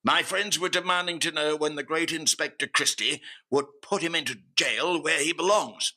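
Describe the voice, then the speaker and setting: Man acting as pompous Victorian official, very sharp forceful tone, husky, impatient, blustering, radio drama. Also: on the high side, normal speed, exaggerated voice. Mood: indignant, demanding. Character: pompous, impatient upper-class male senior customs official.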